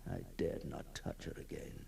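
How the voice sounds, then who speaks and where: An actor playing a lonely, tormented outcast ghoul in a radio horror monologue, halting and theatrical, haunted and yearning. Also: male voice, dark tone, slight background noise, lightly acted. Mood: brooding, wistful. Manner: halting, theatrical, swings between whispers and anguished cries.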